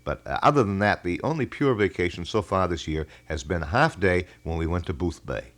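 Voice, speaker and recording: A middle-aged American man hosting a live call-in radio show, talking in a folksy, wordy way with halting phrasing. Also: low voice, fairly bright tone. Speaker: radio talk show host. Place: radio studio. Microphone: studio broadcast microphone.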